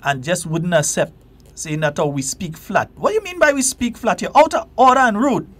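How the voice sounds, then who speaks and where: A man's voice: high voice, moderate pace, a bit bright. A Grenadian man hosting a call-in radio talk show, talking with passion and emphasis. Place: radio studio.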